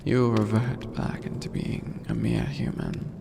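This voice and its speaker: An imperious skeleton demon lord played by a man speaks in a heavily creaking murmur, drawing out each formal phrase very slowly. The delivery is theatrical and bored yet playfully menacing, in the intimate manner of fantasy ASMR, over a noisy recording. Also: fairly low pitch, little pitch movement. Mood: lofty, brooding.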